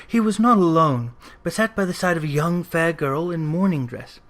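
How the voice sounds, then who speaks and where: A narrator reading Victorian fiction aloud in an expressive, theatrical storytelling manner. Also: mid-range voice, normal speed. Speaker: audiobook reader.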